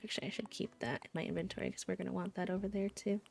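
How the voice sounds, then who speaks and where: A woman's voice: mid-range voice, steady tone, racing, gravelly, darkish tone, faint music behind. A woman streaming a game, chatting casually and greeting viewers by name. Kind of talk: casual livestream chat while gaming.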